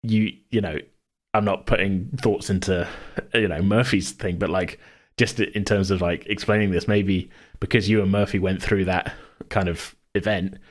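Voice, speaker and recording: A man brainstorming casually as a podcast host, thinking aloud in a halting way. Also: low-pitched, steady tone. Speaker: tabletop role-play podcast host and game master.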